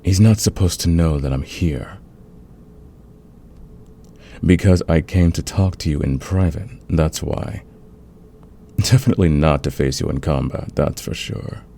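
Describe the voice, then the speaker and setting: Guy doing a smug villain flirting with his rival, super deep gravelly voice, asmr roleplay, teasing and close. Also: dark tone, a little hiss or hum, heavily acted. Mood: confiding, smitten. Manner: teasing, intimate, drawn-out confiding delivery. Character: flirtatious, smug male fantasy villain, secretly smitten rival. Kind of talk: romantic ASMR roleplay acting.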